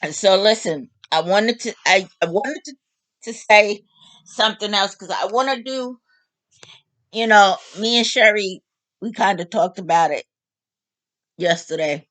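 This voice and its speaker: Bright-voiced woman in her fifties. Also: medium pitch, measured pace, long pauses, dry recording. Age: 50s. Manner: animated, candid, joking. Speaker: vlog host. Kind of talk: casual monologue.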